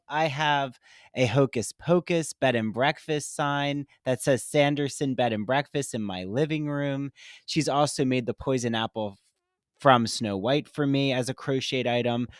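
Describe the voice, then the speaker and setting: Woman, 30s, podcast co-host; low, gushing sponsor-read delivery, close and dry. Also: dry recording. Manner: gushing, animated, with theatrical gasps. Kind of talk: casual chat with sponsor reads.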